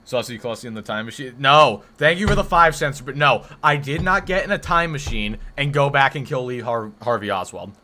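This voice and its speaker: A deep-voiced man of twenty hosting a casual live stream, answering his chat with rambling, joking banter and mock defensiveness. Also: lively intonation, fairly quick, hardly any pauses, sharp tone, slight background noise. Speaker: livestream host.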